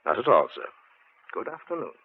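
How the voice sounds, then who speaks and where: An actor playing a stout elderly gentleman, outwardly kindly and faintly sinister, polite and formal in a 1940s radio drama. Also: on the low side, very animated, brisk, slightly rough, full character voice. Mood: courteous. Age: older. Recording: tinny 1940s radio recording.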